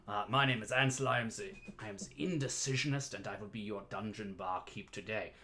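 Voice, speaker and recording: A man's voice: fairly low pitch, a little fast, bright, forceful tone, soft background music. A man hosting a casual livestream as a playful bartender, chatting with theatrical showman patter. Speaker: livestream host mixing cocktails as "dungeon barkeep".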